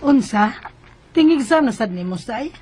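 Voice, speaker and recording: An actress playing a reassuring, businesslike unmarried schoolteacher with a Cebuano accent in a radio drama. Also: female voice, a little high, lively intonation, unhurried, loud music behind the voice. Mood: matter-of-fact. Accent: Cebuano Filipino. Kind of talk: Filipino radio drama acting.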